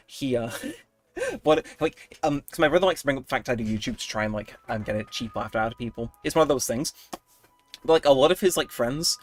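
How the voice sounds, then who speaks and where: Young man, streamer, animated rambling chat, self-mocking, dry close sound. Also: medium pitch, no echo. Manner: rambling, self-deprecating, chatty with viewers. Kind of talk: casual livestream chat while gaming.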